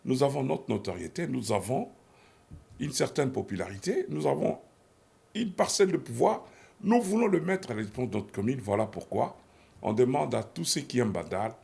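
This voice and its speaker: A man's voice: mid-range voice, lively intonation, fairly quick, gravelly, warm tone. A man hosting a TV talk show, chiming in often with short agreements and closing the segment. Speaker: TV host.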